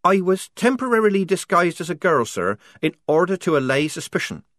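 A man's voice: high voice, big pitch swings, a little fast, a bit bright, no echo, clean studio sound, voice pushed up. A man voicing an earnest young female soldier in a storybook, answering in a respectful, dutiful military manner.

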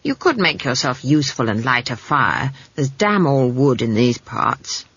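A woman's voice: very deep voice, sing-song, a little slow, fairly bright tone, breathy edge, no echo, dull audio, pitched below the performer's own voice, exaggerated voice. A woman voicing a sour, brusque old country witch in a storybook, wry and knowing. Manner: sour, brusque, cryptic, scolding.

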